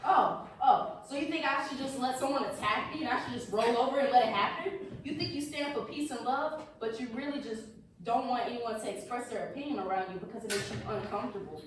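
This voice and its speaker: A woman's voice: on the high side, fast, lightly acted. Defensive young man, played by a woman, very echoey. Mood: indignant. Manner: indignant, cutting. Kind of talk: staged play reading. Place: echoey indoor room, far mic. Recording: distant, echoey room with hiss.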